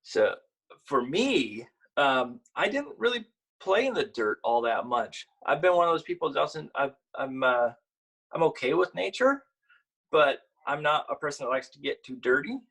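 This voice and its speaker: A man who works as a children's author, talking casually and explaining in a halting, conversational way during a storytime. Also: a little high, big pitch swings, vocal fry, fairly bright tone, husky, low-quality recording. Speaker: picture book author and illustrator. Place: at home, online video event.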